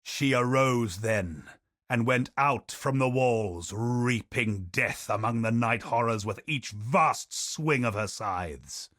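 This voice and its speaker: A man voicing a game character who recounts a dark war tale in slow, grave storytelling. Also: mid-range voice, no echo, studio quality. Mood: somber. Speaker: game character narrating lore. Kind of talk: video game voice lines, lore recounting.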